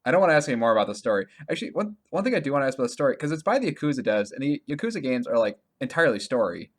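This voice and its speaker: Male co-host, mid-range voice with very lively pitch swings, curious, informal podcast banter. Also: racing. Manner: inquisitive, informal, reactive. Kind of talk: casual video game chat.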